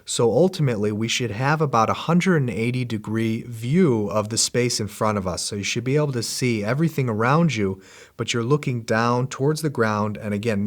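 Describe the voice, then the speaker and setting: A middle-aged man guiding a meditation class with slow, gentle, repetitive instructions. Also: medium pitch. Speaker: meditation teacher.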